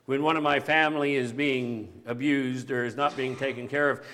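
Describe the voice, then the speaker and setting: A man preaching a sermon with a big voice that grows animated at times. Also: mid-range voice, normal speed, long reverb tail. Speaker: pastor.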